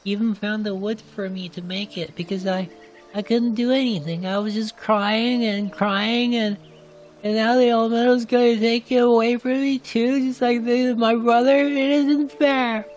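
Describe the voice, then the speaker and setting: A woman's voice: fairly high pitch, fairly even intonation, moderate pace, darkish tone, close to an everyday voice, rough recording. A woman voicing an anxious, guilt-ridden young girl in a fantasy game cutscene, pleading and plaintive. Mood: guilty, upset. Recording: music behind the voice.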